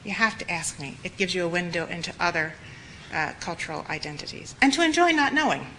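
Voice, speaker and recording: Woman, slightly hoarse, earnest lecture, bright tone, faint background noise. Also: fairly low pitch, expressive, fairly quick, poor audio. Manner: earnest, reflective, with emphatic repetition. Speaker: lecturer for medical students. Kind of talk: spoken lecture.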